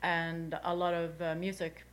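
A woman's voice: lowish voice, monotone, unhurried. A woman from Sweden, co-hosting a radio talk and chatting casually. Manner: chatty, uses 'like' often. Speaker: radio co-host, station board member.

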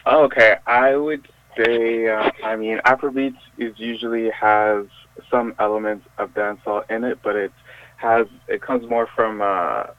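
A man's voice: on the low side, fairly even intonation, a little slow, slight background noise. A man from Jamaica, a musician guest, chatting loosely in an interview and filling with lots of "like" and restarts. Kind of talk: casual interview chat. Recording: tinny dry phone line.

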